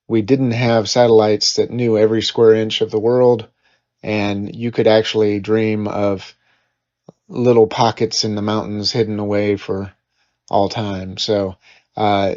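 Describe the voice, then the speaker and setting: A man giving a casual, halting introduction as a podcast host. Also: on the low side, darkish tone, highs cut off.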